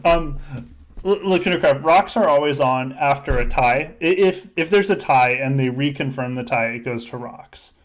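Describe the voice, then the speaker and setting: A man hosts a casual podcast, narrating a game play-by-play. His mid-pitched voice is jokey and loose, and he swears freely. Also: normal speed. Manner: joking, foul-mouthed. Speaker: podcast host running a simulated game. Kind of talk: casual play-by-play commentary among friends.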